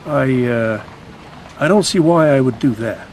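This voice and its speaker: A man acting as an officious American government envoy in an old radio mystery. His medium-pitched voice is formal and patronizing. The recording is slightly noisy. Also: unhurried, darkish tone, natural voice. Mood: polite, officious.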